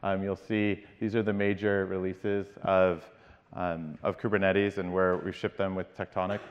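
A man in his thirties, a company CEO, giving a conference keynote from a large stage in a measured way, with frequent ums and restarts. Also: deep voice, level tone. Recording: dull-toned keynote audio with long hall reverb.

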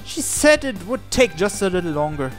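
A let's play host reads visual novel narration aloud and acts out the dialogue with expression. The voice sits at a middle pitch, with light game music playing behind it. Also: very animated, a little fast.

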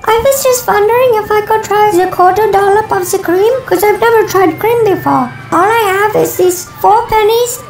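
A woman's voice: very high-pitched, normal speed, hardly any pauses, reverberant, far from the mic, soft background music, voice pushed up. A woman voicing an eager, naive little child in a cartoon-style demo. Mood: shy, hopeful.

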